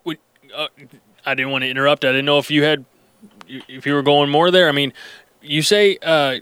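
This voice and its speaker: A male host with a smooth, resonant voice, pitched a little higher than most men. He asks long, halting questions full of restarts and fillers. The recording is close and dry, with no room sound. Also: fairly even intonation, fairly bright tone, no echo. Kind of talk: interview questions.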